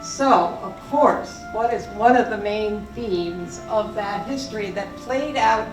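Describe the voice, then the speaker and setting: A woman hosting an evening event, introducing the guest speaker in measured remarks. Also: medium pitch. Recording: roomy hall, from a distance, music behind.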